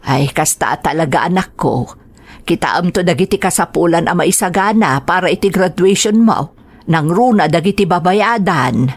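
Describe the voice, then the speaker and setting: A woman acting as a hardworking, loving mother in an Ilocano radio drama, gentle and maternal, with an Ilocano accent. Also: fairly low pitch, animated, moderate pace. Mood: reassuring.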